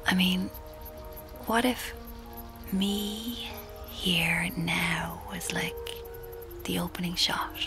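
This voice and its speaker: Slow, breathy young Irish dreamer. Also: female voice, lowish voice, fairly even intonation, music in the background. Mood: dreamy, self-satisfied. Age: young adult. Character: dreamy, self-deluded young Irish woman, aspiring writer. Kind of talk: intimate first-person radio drama narration.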